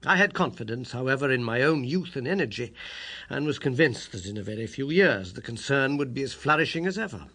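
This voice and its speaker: Husky, bright British male storyteller. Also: mid-range voice, lively intonation, fairly quick. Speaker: audiobook narrator. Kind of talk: scripted literary narration, first person.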